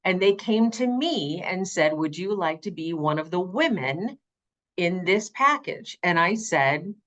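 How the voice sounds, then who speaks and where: Middle-aged woman, low alto, interview guest recounting her audiobook narration career with emphatic conviction. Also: expressive, measured pace, fairly bright tone. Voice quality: low alto, changed by vocal nodules. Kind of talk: conversational interview storytelling.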